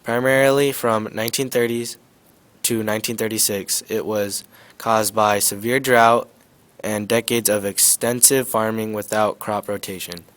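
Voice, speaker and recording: A man's voice: fairly low pitch, steady tone, moderate pace, a bit bright. Young man reading a class news report. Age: teens. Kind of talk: school project news report.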